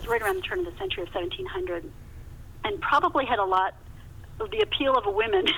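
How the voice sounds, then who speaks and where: A woman's voice: very high voice, vocal fry, fairly bright tone. A woman guest telling stories conversationally in an interview, with an emphatic way of talking, repeating words for emphasis. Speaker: novelist, podcast guest. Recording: muffled phone line with hiss.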